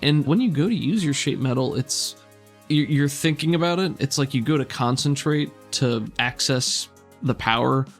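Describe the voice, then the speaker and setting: A man running a tabletop role-playing game as game master, narrating scenes in a relaxed, descriptive way. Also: medium pitch, moderate pace, soft background music.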